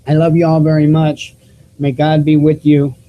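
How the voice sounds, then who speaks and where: A man with a squeaky voice hosting his own YouTube news channel, talking casually to viewers through a cheap desktop microphone with many restarts and fillers. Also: monotone, normal speed, lo-fi sound. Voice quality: squeaky voice, self-described. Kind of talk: casual vlog talk to viewers.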